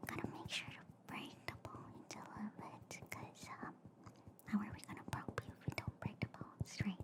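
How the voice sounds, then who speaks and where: A woman voicing a gentle, silly alien girl medic in a breathy, hushed whisper for an ASMR roleplay. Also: lightly acted. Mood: playfully reassuring. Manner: slow, hushed, soothing, repeats phrases softly.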